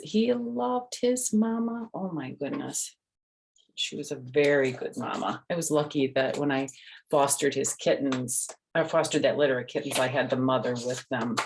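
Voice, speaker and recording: An older woman reading a picture book aloud to children, gently explaining with chatty asides and questions to the listener. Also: low-pitched, breathy edge. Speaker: storyteller and host.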